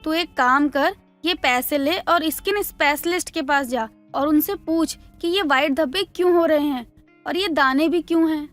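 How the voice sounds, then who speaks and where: Casting: caring cartoon mum, high voice, practical, brisk motherly advice. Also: fairly bright tone, soft background music. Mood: advising. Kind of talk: Hindi animated moral story dialogue.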